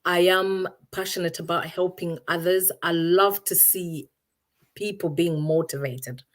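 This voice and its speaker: Low-voiced thoughtful woman from Uganda. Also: steady tone, unhurried. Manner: thoughtful, searching for words with many restarts. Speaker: guest. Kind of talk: reflective interview answers.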